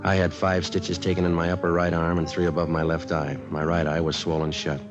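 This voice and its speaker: An actor playing a terse, businesslike police sergeant in a vintage radio crime drama, deadpan and matter-of-fact. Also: male voice, sits low, fairly quick, music in the background, close to an everyday voice. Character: terse, businesslike male police sergeant detective, narrating his case. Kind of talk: clipped deadpan radio crime narration and dialogue. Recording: dull old radio recording.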